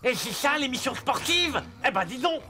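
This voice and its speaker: High, brash little cartoon animal. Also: female voice, big pitch swings, normal speed, bright, forceful tone, exaggerated voice. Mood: scornful, impatient. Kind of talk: French children's cartoon dubbing. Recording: music behind the voice.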